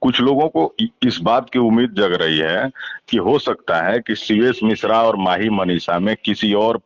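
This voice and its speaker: Male news interviewer, kinda deep, dry close sound, polite n hesitant asking questions. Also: unhurried, no echo, dull audio. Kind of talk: phone interview with frequent acknowledgements.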